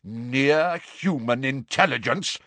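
A man voicing a proud, hostile male centaur with a rough, imperious delivery, in an audiobook. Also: a little high, animated, takes it slowly, a bit bright, no echo, pitched above the performer's own voice. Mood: outraged.

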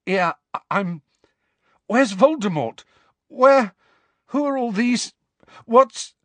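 Shaken teenage boy, as a male narrator puts him on, high and stammering. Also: lively intonation, takes it slowly, a bit bright, dry recording, exaggerated voice. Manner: stammering, breathless.

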